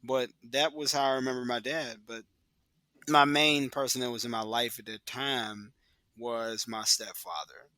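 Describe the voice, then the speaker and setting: A man talking as a podcast guest, reflective and soft-spoken, pausing and restarting as he remembers. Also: mid-range voice, fairly even intonation, no echo. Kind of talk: casual conversation.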